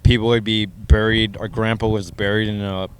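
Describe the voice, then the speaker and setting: Low, smooth-voiced American man. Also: little pitch movement, moderate pace. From Minnesota, United States. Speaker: interviewee sharing his adoption story. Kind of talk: measured personal interview answers.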